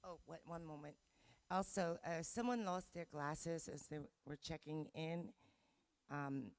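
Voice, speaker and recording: A woman hosting an event, making informal announcements in a halting way. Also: low-pitched, no room sound. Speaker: event host.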